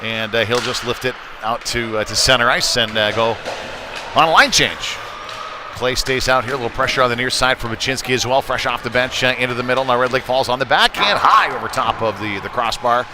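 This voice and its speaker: A man doing live hockey play-by-play in halting, off-the-cuff phrases, as the announcer on a game stream. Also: mid-range voice. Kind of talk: live sports commentary. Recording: noisy live rink stream.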